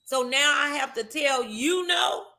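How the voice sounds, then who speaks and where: Woman, talk show host, bright voice, motivational, emphatic, encouraging. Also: sits high, lively intonation, measured pace, gravelly.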